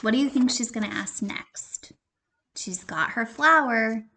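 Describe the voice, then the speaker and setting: Bright, slow-paced woman teacher reading aloud. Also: a little high, a little hiss or hum. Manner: expressive, questioning, addressing young listeners directly. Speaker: primary school teacher. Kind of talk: interactive children's read-aloud.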